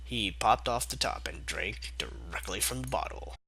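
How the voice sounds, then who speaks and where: A young man reads a fan story aloud with a very bright, forceful voice that swings widely in pitch. He keeps stopping to mock the text in sarcastic, exasperated asides, and the reading stays loose and comedic. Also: lively intonation, moderate pace, creaky, slightly rough. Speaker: fanfic reader.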